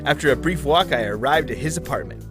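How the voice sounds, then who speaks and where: Deadpan man narrating noir over guitar. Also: on the high side, quick pace. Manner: dry, deadpan delivery. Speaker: detective narrator. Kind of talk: deadpan hard-boiled noir parody narration.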